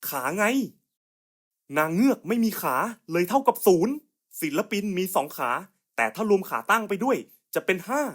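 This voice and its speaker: A man's voice: high voice, a bit bright. A man voicing scripted cartoon puzzle skits in casual, slangy, coarse buddy-style banter.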